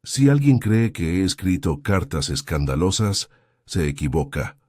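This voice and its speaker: A man's voice: sits low, sing-song, a little slow, a little mellow, no echo, studio quality. A man doing a curt, offended lady. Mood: indignant. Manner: curt, snapping.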